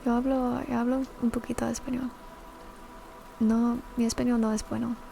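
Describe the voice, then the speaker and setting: A woman streamer whispering soft, giggly ASMR chat to her viewers into a sensitive mic. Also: on the high side, level tone, brisk, gravelly, slight background noise. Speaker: VTuber streamer.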